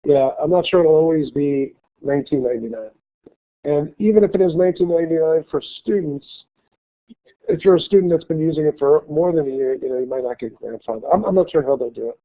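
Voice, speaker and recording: A male college instructor giving a classroom lecture with a slight cold, talking haltingly with many fillers and restarts. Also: on the high side. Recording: tinny phone-like lecture recording.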